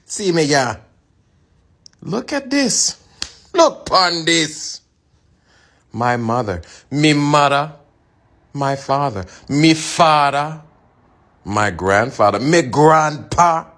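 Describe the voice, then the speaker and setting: A man's voice: a little high, sing-song, extremely slow, long pauses, a bit bright. A man with a Jamaican accent giving a phrase-by-phrase language lesson, saying each phrase plainly and then in dialect. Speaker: language demonstrator.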